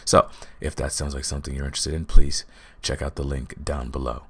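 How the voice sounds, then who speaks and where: Very deep-voiced man chatting casually. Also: flat delivery, fairly quick, fairly bright tone, husky. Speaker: ASMR voice actor, channel host. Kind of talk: casual outro to listeners.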